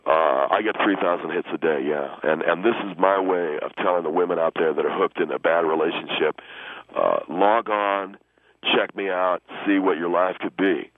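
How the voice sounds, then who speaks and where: A man's voice: low voice, steady tone, normal speed, a little hiss or hum, pitched below the performer's own voice. A man voicing a vain, cocky young ladies' man in improvised radio comedy, boasting earnestly and hesitating with lots of uhs. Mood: self-satisfied. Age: young adult. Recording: dull lo-fi radio phone-in sound.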